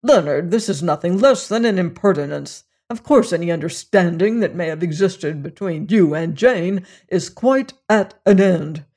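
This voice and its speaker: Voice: mid-range voice, animated, moderate pace, few pauses, a little mellow. A reader voicing a pompous old reverend. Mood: indignant. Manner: sanctimonious, lecturing, rising to indignant. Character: pompous older male clergyman, self-righteous and evasive.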